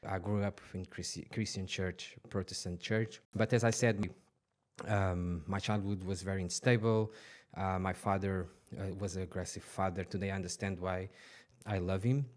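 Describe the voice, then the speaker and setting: Deep-voiced man telling his life story. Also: little pitch movement, a little mellow. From Portugal. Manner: earnest, halting, many restarts. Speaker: podcast guest.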